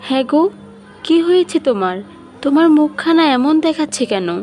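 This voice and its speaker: A woman's voice: high-pitched, expressive, a little fast, a little mellow. A woman voicing a caring, practical village wife in a cartoon moral story, with a concerned, coaxing manner. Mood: worried. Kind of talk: animated moral story dialogue. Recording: voice over light background music.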